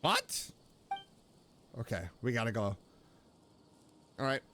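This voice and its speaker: A woman's voice: extremely low pitch, huge pitch swings, slow pace, gravelly, background noise. A woman streamer giving casual commentary as she plays a video game. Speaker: streamer, VTuber. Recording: game music behind the voice.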